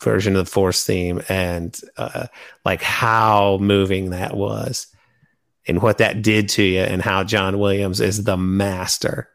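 A man's voice: low voice, little pitch movement, slow, creaky. A man chatting casually about fan topics as a podcast host.

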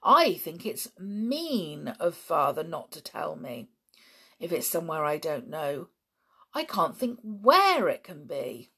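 A woman's voice: sits low, sing-song, slow pace, bright, forceful tone, breathy edge, heavily acted. A woman voicing a headstrong, hot-tempered young tomboy girl, indignant and outspoken, in a children's storybook. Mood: puzzled, resentful. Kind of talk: children's adventure storybook reading.

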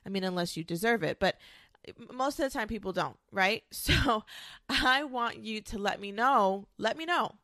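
A woman's voice: mid-range voice, expressive, moderate pace, a bit bright, dry recording. A young woman with a congested, nasal voice from a cold, giving frank, chatty advice as a podcast host and swearing casually.

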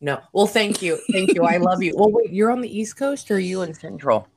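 A woman guest on a podcast who has just woken up, talking in a low, sleepy voice with a bubbly, joking manner over a remote recording. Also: lively intonation, vocal fry, crowd noise. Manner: bubbly and jokey, quick asides. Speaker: podcast guest, psychic medium.

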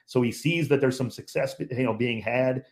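A man's voice: medium pitch, normal speed. A man who calls games on the radio, a guest on a podcast chat, talking in an emphatic, opinionated way and repeating points for stress.

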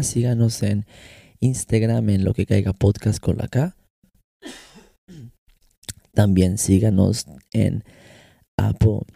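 A man's voice: lowish voice, vocal fry, dark tone, breathy edge, no room sound, clean studio sound. A young Mexican man with a Mexican Spanish accent and some American English, hosting his own podcast and talking loosely in slang, switching between Spanish and English. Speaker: podcast host. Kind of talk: casual solo podcast monologue. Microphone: close podcast microphone.

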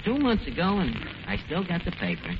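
An actor playing a brash, self-important tavern manager in a 1940s radio comedy, slangy bad grammar and mock-grand words. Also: male voice, high-pitched, wildly swooping pitch, fairly quick. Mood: proud, sentimental. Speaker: comedy show host. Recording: dull, noisy old radio broadcast.

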